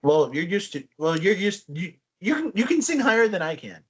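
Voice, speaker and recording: Young male podcast co-host, slightly high voice, chatty and rambling. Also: normal speed, slightly rough. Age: young adult. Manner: rambling, restarts often, animated storytelling. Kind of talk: casual chat.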